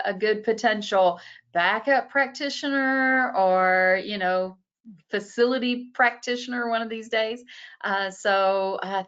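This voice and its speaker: A woman's voice: medium pitch, fairly bright tone, muffled recording. Woman moderating, full of fillers. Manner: many fillers, you know and uh. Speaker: panel moderator. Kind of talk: moderating a webinar panel.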